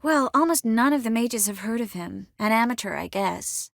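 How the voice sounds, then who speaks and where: An actress playing an earnest, caring young sorceress in a video game, expressive and by turns determined and wistful. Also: female voice, on the high side, normal speed, creaky, no room sound, clean studio sound. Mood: calm, conversational. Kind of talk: video game character dialogue.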